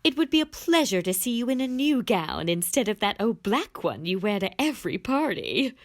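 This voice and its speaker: A woman's voice: medium pitch, sing-song, normal speed. A woman playing a wealthy, breezy young society lady with an affected manner in a period audio drama.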